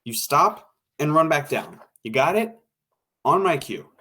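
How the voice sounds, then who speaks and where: A man's voice: a little high, big pitch swings, unhurried, heavy background noise. A man playing an impatient radio director in a 1940s-style drama, brusque, slangy and commanding. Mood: impatient, bossy.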